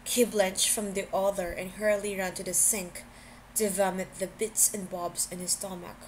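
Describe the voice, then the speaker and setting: A woman's voice: medium pitch, little pitch movement, normal speed, a little hiss or hum, rough recording. A woman reading a scripted story aloud as an amateur audiobook narrator.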